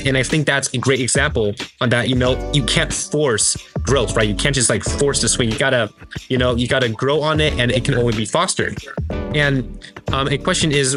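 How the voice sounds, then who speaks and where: A man whose first language is Mandarin asks interview questions in a loose, halting way, full of "um" and "you know". His pitch sits in the middle, and he is recorded outdoors at a golf course with music behind him. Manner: casual, halting, many fillers. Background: background music.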